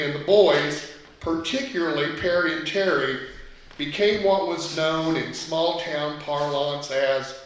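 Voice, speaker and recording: American male author, fifties, comic memoir reading, fairly high voice, distant in a reverberant hall. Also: fairly bright tone. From Indiana, United States. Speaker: author reading own essay. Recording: very echoey room, distant mic, faint noise.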